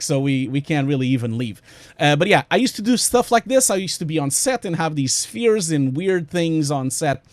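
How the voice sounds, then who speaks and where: A man with a Portuguese accent presents a webinar from his home studio, his voice a touch higher than most. He talks in a chatty, stop-start way, full of "uh" and restarts. The sound is dry, with no room echo. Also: a little mellow. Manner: chatty, self-interrupting, frequent fillers.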